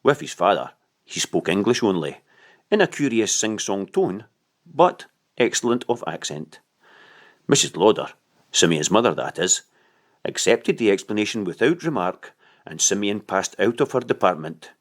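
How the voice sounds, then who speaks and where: A man reading a literary story aloud as an audiobook narrator, in an expressive storytelling style. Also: on the low side, moderate pace.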